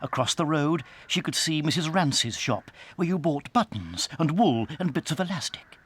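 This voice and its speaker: A man with a British accent narrating a dramatized children's story in a suspenseful, theatrical way that can drop toward a hush. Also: a little high, big pitch swings, normal speed, slightly rough. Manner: dramatic, suspenseful, hushing to near whispers.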